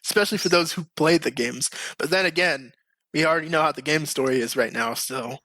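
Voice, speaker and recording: A man hosting a casual group podcast chat, talking in an animated, emphatic way. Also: a little high, fairly quick, dry recording, low-quality recording. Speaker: podcast host.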